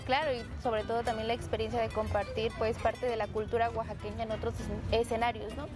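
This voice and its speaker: A woman's voice: a little high, little pitch movement, fast. Polite woman host over music. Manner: polite, formal, with fillers. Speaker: interviewer and host. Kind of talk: interview questions. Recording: music playing under the voice.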